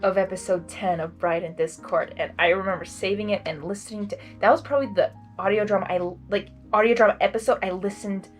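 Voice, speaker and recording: A woman's voice: medium pitch, steady tone, quick pace, hardly any pauses, faint music behind. A woman giving gushing, exclamatory fan commentary as she hosts a story reading. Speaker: host of a blind-reading series. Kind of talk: excited fan commentary between story narration.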